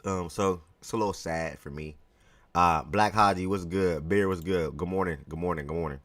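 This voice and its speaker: Deep-voiced man hosting a casual live sports show. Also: moderate pace, no room sound. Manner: chatty, greets chat viewers by name, relaxed and rambling. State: slightly hoarse from yelling the day before.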